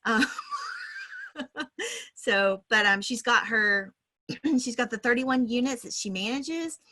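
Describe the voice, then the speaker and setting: Bright enthusiastic woman podcast host. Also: a little high, takes it slowly. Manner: enthusiastic, chatty with fillers. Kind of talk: upbeat podcast intro.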